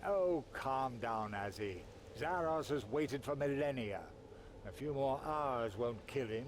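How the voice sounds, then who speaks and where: A man's voice: medium pitch, animated, slow pace, noisy background. A man voicing a sly, theatrical undead schemer in a video game, mocking and teasing with a languid drawl. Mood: teasing, nonchalant. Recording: music behind.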